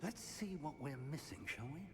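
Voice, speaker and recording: An actor playing a composed, inquisitive professor and wizard mentor, speaking in a measured, refined, thoughtful way in a video game. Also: male voice, a little high, big pitch swings, over music. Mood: thoughtful, resolute. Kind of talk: video game character acting.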